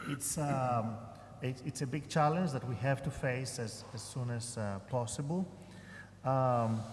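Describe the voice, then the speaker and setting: A man speaking as a professor on a conference panel, pausing often with fillers and restarts. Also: mid-range voice. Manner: hesitant, many fillers and restarts. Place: large conference hall. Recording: echoey hall, faint room noise.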